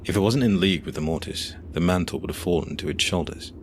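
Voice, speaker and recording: A man's voice: deep voice, quick pace, background noise. A man giving dramatic, scripted first-person narration for an audio fiction story.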